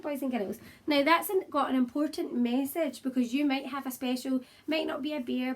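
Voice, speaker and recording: A woman with a fairly high voice and a husky edge speaks as a primary school teacher to small children. She reads picture-book verse expressively and adds simple, encouraging asides for her young viewers. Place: child's room at home, indoors.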